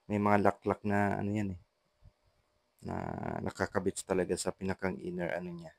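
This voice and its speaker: A Filipino man narrating a casual how-to vlog in a halting, repetitive way, with short trailing phrases. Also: low voice, flat delivery, vocal fry, no room sound. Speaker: vlogger, intercom installer.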